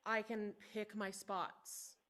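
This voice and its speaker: A young adult woman, a voice student, talking softly and searchingly with many fillers about how singing felt. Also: medium pitch, little pitch movement, takes it slowly. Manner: soft, searching, filler-heavy. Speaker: voice student in masterclass. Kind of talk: casual reflective talk.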